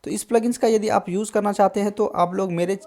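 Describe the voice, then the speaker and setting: A man hosting a casual tutorial on music software, explaining step by step and often adding short check-in tags. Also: high voice, steady tone, quick pace. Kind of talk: casual screen-recorded tutorial.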